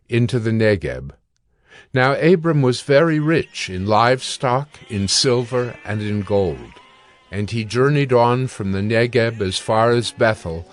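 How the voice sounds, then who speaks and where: A man narrating scripture as a scripted reading in a measured, solemn storytelling manner. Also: mid-range voice.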